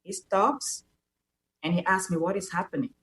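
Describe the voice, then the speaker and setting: A woman's voice: lowish voice, moderate pace, fairly bright tone. A young woman from Cameroon with a Cameroonian French accent, a native French speaker, earnestly telling a personal story as a radio show guest and repeating words as she searches for them.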